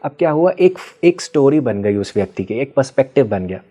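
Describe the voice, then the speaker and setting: Mellow-voiced man explaining patiently. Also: medium pitch, very animated, fairly quick. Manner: patient teacherly explaining with frequent checking questions; mixes Hindi with English terms. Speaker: guest expert on ancestral healing. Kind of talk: explanatory interview answers.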